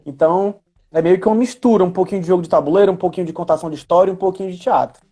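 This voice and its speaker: A man's voice: high voice, racing, warm tone, slightly rough. A man with a Northeastern Brazilian accent from Ceará, explaining things in a casual, conversational way and often checking in with tag questions. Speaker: educator, guest on a live chat.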